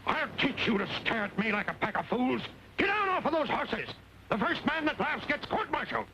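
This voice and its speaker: A man's voice: very high voice, sing-song, a little fast, sharp tone, exaggerated voice. A man playing an indignant, blustering Union Army colonel in an old western film. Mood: furious. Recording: noisy old film soundtrack.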